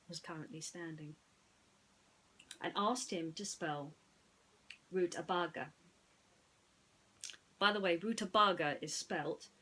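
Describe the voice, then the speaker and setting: A woman narrating a bedtime story in an expressive, deliberate way, with chatty asides. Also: fairly low pitch, rough recording.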